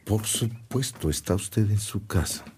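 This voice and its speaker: Man acting a slow, solemn priest. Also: on the low side, lively intonation, a little hiss or hum. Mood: courteous. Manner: solemn, formal, measured. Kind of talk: Spanish-language radio drama acting. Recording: loud music under the voice.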